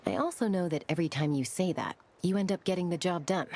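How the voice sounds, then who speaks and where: A woman's voice: fairly low pitch, quick pace, mellow. A woman voicing a confident, hospitable young tribeswoman in a video game, easygoing and assured. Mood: reassuring.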